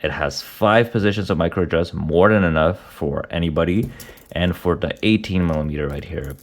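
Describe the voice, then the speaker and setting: A man with a cold, his voice deep and raspy, casually reviewing watch parts with many hesitant fillers. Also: fairly quick. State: hoarse from a cold.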